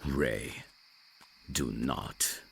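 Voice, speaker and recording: A man playing a reserved, rational vampire messenger in an audio roleplay, dry and guarded, with a gravelly, deep voice. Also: drawn-out words, a bit bright, exaggerated voice. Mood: mildly exasperated. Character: reserved, rational male vampire messenger, over fifty years undead.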